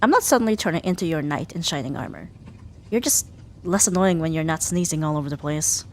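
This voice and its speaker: A woman playing a sharp-tongued young female rival in an ASMR roleplay, huffy and sarcastic but grudgingly caring. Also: low voice, expressive, slight background noise. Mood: reluctantly caring. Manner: sarcastic, huffy, theatrical sighs and drawn-out jabs. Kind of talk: ASMR roleplay audio drama addressed to the listener.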